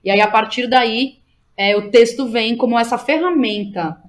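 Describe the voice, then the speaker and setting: A woman's voice: fairly high pitch, moderate pace. A Brazilian woman speaking as an interview guest, in casual, slangy talk with frequent check-in tags. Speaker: interview guest, playwright, director and drama teacher.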